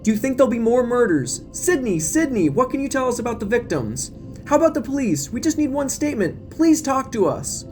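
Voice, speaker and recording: A man voicing a pushy, excitable news reporter who badgers with urgent questions in an audio drama. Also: very high voice, fairly quick, soft background music, voice pushed up. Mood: urgent, pleading.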